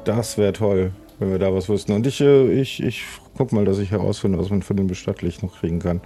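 A man's voice: sits low, steady tone, fairly quick, warm tone. A man playing along in an improvised adventure audio play, conversational, polite and hesitant. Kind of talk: improvised in-character roleplay, conversational. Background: faint music.

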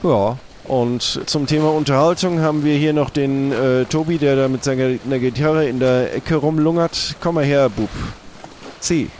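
A man's voice: medium pitch, normal speed, darkish tone, slight background noise. A man casually leading improvised storytelling, hesitating as he searches for words. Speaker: game master and ensemble player.

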